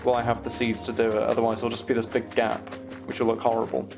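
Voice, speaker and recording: A man with a mid-pitched, creaky voice talks through his game on a livestream. He thinks aloud in a casual, unhurried way, chatting as he plays. Music sits behind his voice. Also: completely flat delivery. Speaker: streamer. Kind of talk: casual gaming livestream chat.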